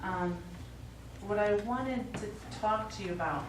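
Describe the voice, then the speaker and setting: A woman's voice: mid-range voice, unhurried, lightly acted. An actress playing a grown daughter in a stage play, teasing yet businesslike as she talks with her elderly father. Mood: hesitant, careful. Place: small theatre stage, recorded from the audience. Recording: echoey theatre, far mic, noisy.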